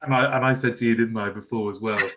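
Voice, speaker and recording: A man's voice: medium pitch, fairly even intonation, quick pace, fairly bright tone. A man hosting a podcast, friendly and conversational, asking long, rambling questions. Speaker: podcast host. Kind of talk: podcast interview chat.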